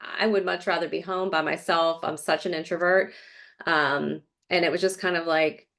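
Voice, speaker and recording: A woman's voice: on the low side, fairly even intonation, normal speed, vocal fry, fairly bright tone. A middle-aged woman telling her story in a casual interview, chatty, with lots of fillers. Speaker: real estate agent, guest. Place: Florida.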